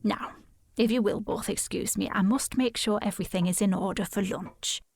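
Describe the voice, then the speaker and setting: An older female housekeeper in a period audio drama. Her voice is breathy and creaky at a middling pitch. She is genteel and deferential, with the confiding air of a polite gossip. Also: normal speed, dry recording, clean studio sound. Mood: courteous.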